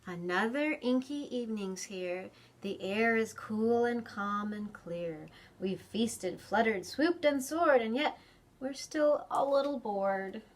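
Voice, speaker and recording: Woman, higher voice, children's storytime host, expressive picture-book reading, child-directed chat. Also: slow pace. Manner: expressive, addresses young children with questions.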